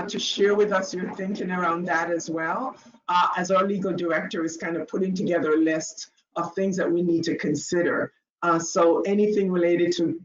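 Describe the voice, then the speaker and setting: A woman speaks at a middle pitch, her voice echoing in a roomy space and coming through dull video call audio. She gives a policy update in a thoughtful way, often hesitating as she works through her points. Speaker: deputy commissioner. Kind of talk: policy update in an online meeting.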